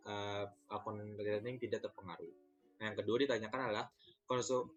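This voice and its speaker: A man presenting as a student, walking through exercise answers. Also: lowish voice. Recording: dull lo-fi microphone sound.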